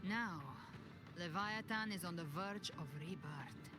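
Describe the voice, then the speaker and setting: A woman playing a brooding, defiant monster-hunting avenger in a video game, speaking in a deliberate, grave and cryptic way. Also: lowish voice. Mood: grave, measured. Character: brooding, defiant female avenger who hunts dark monsters. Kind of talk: dramatic scripted game dialogue. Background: prominent background music.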